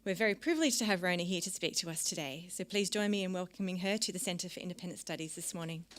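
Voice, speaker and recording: A woman's voice: lowish voice, quick pace, slight background noise. A woman hosting an event in a lecture room, giving a polished, formal introduction of a guest speaker. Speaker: event host.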